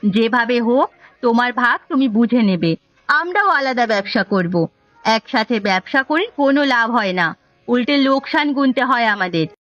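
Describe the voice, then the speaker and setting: A woman's voice: on the high side, lively intonation, normal speed, a bit bright, no room sound. A woman voicing a conniving, nagging village wife in a cartoon, with an insistent, goading delivery. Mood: urging. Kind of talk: Bengali cartoon story dubbing. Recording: dull lo-fi audio, music bed.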